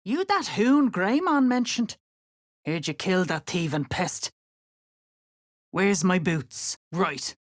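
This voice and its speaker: Give the part of a hard female slave-driver from a fantasy game. Her low voice swings widely as she issues curt threats and scornful orders. The delivery is slow and deliberate, in a very dry recording. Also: expressive, no echo. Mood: menacing. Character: gruff, menacing female cultist slave-driver bossing diggers. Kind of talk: video game character acting.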